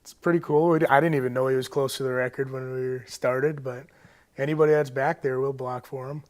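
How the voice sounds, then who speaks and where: A man's voice: mid-range voice, steady tone, fairly quick. A young American man, a college athlete, giving casual, laid-back interview answers in short clipped phrases. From Moorhead, Minnesota, United States. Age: young adult. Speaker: college football player, interview guest.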